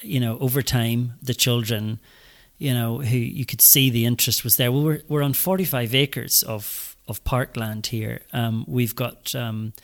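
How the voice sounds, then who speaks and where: A man's voice: medium pitch, steady tone, unhurried. Chatty Northern Irish man. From Northern Ireland. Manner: enthusiastic, many fillers and restarts. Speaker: school head. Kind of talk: podcast interview.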